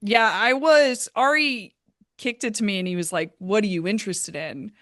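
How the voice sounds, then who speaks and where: Female interview guest, actor-producer type. Mid-range voice, dry recording, casual storyteller who voices quoted remarks in an animated way. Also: medium pitch, normal speed, no echo.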